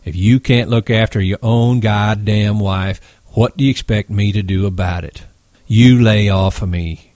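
A man doing a calm, authoritative ranch boss. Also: lowish voice, dry recording, clean studio sound, natural voice. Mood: irritated. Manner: measured, authoritative. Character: calm, respected older ranch boss, steady.

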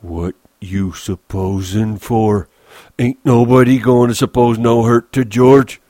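Audiobook role: male narrator as a big simple-minded man, anxious and loyal, pitched up, stubborn rural talk, close dry sound. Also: fairly even intonation, slow, warm tone, no room sound, lightly acted. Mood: angry, menacing. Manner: simple, stubbornly repetitive, ungrammatical rural speech. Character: big childlike slow-witted man, trusting, anxious, fiercely loyal.

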